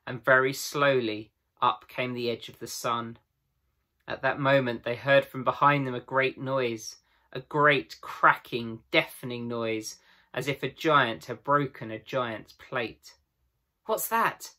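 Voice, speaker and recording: A man's voice: mid-range voice, pushed and bright. A man in his thirties narrating a children's storybook aloud, as a teacher would to pupils. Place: small room at home with bookshelves.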